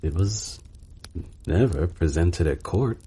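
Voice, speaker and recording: A man voicing a deferential yet haughty gentleman courtier, formal and prim, in a storybook reading. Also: deep voice, expressive, slow, slightly rough, exaggerated voice. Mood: dismissive. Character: deferential, haughty male courtier serving an emperor.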